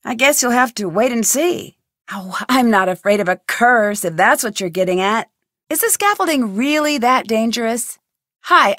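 A curious, blunt young female detective from a video game, asking sharp, probing questions. Her voice sits fairly high, and the recording is dry and close. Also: no echo.

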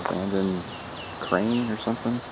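A man with a Kentucky accent narrating his exploration slowly and hesitantly, recorded on a handheld camera outdoors in overgrown fields. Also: lowish voice, fairly even intonation. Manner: slow, hesitant, with fillers. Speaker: urban explorer vlogger. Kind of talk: casual vlog narration. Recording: outdoor camera audio with clear background noise.

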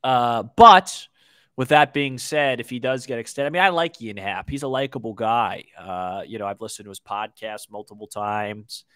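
A young man co-hosting a sports podcast in casual chat, halting and repeating words as he thinks. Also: mid-range voice, animated, a bit bright, clean tone, dry recording, clean studio sound. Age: young adult. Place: small indoor room on a video call. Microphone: close podcast microphone with headphones.

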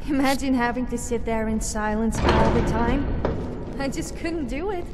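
A woman's voice: a little high, moderate pace, over loud music, close to an everyday voice. A woman playing a chatty, irreverent young adventurer, a companion in a fantasy game, speaking casually. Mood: chatty, musing. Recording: echoing hall, loud ambient game noise.

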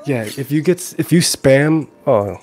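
A man's voice: mid-range voice, animated, a little slow, a little mellow, soft background music. Casual male livestreamer, forties, mid-range voice, playful and easygoing, close-miked in a home room. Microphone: handheld-style recorder on a stand, close up.

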